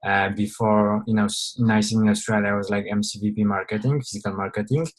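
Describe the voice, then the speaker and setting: A young man leads an online webinar in a low, flat voice. He talks in an informal, halting way, filling pauses with "uh" and "like" and often restarting a sentence. It sounds like a video call. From Poland. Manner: hesitant, many fillers and restarts. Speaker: webinar presenter.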